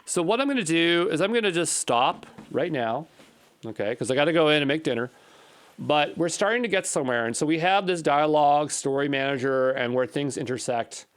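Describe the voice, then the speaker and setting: A man's voice: a little high, lively intonation, brisk, clear, resonant tone. Man thinking aloud through code. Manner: tentative, musing, questioning himself. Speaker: programming instructor. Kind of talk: unscripted coding walkthrough, thinking aloud.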